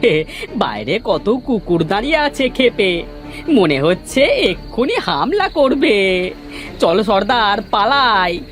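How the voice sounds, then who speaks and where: A man plays a jumpy cartoon henchman, servile and excitable. His pitch jumps about a great deal, and music plays clearly behind him. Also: takes it slowly, full character voice. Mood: panicked. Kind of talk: Bengali cartoon voice acting.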